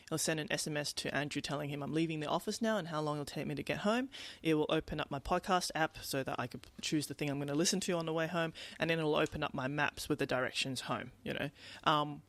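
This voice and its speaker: A woman's voice: deep voice, fast talker, few pauses, fairly bright tone. A woman co-hosting a podcast in casual conversation, telling things in a rambling way. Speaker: podcast co-host. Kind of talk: casual chat.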